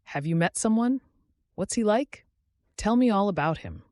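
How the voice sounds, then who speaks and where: A man voicing a warm, eager young woman with an affectionate, earnest manner in an audiobook. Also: sits high, expressive, normal speed, no echo, clean studio sound, pitched above the performer's own voice. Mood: delighted.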